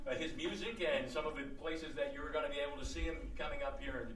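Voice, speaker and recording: A man's voice: a little high, fast. A man hosting a live stage broadcast with a theatrical announcer's delivery. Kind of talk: live stage hosting and dramatic storytelling narration. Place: small live studio stage with audience. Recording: roomy distant stage pickup, loud music.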